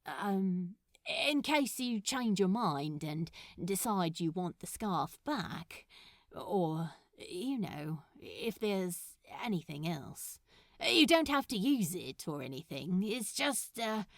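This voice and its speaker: A shyly flirtatious man in a romantic story, put on by a woman reader. He sounds smitten and self-conscious, with slow, halting delivery in a dry, close recording. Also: lowish voice, big pitch swings, no room sound, exaggerated voice. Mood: shy, hopeful.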